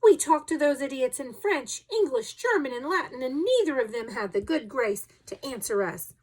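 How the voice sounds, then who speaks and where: A woman's voice: lowish voice, lively intonation, moderate pace, fairly bright tone, rough recording. A woman voicing a hot-tempered, blunt Canadian harpooner in an audiobook story, indignant and emphatic. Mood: outraged.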